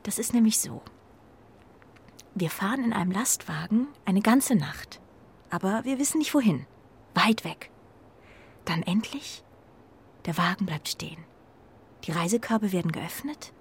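A woman playing a homesick, loyal carrier pigeon in a children's radio play, speaking in a dreamy, hesitant, breathy way. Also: mid-range voice, animated, a little slow, pauses often, full character voice. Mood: wistful.

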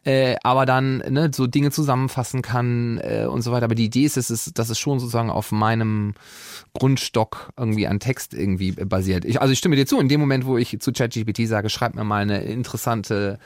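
A man's voice: mid-range voice, fairly even intonation, fairly quick, no echo. Guy hosting a podcast, mid voice, stumbles and restarts a lot, dry close mic. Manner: hesitant, with restarts and repeated words. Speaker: podcast host. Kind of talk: conversational interview.

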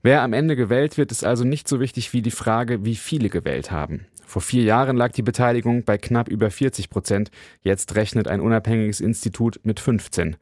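A man filing a scripted radio news report as a correspondent, measured and matter-of-fact. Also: mid-range voice, a little mellow, no echo, clean studio sound.

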